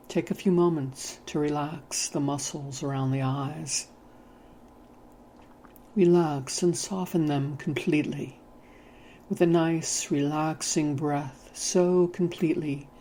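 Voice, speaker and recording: A mellow, soft-toned narrator guides a hypnotic meditation at an unhurried pace. The delivery is soothing and gently instructive, with measured phrasing, over faint background ambience. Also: mid-range voice, slight background noise.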